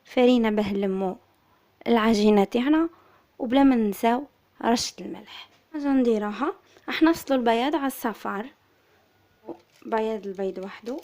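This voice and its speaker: Soft-voiced Algerian woman, slightly hoarse. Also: a little high, a little fast, pauses often. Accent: Algerian Arabic. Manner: soft, subdued, steady explaining. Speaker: cooking channel host. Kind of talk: recipe tutorial voice-over.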